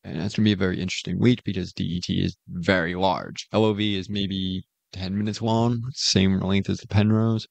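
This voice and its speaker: A man's voice: deep voice, fairly even intonation, fairly quick, gravelly, few pauses, no echo. A man giving an informal voice-over progress update as a game mod developer, recorded close on a slightly dull microphone.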